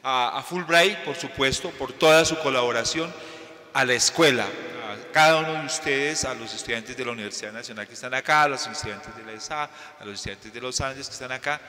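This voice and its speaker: A man's voice: medium pitch, fairly quick, bright, forceful tone. A man, moderating an event and closing it with an effusive, formal round of thanks. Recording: reverberant lecture hall.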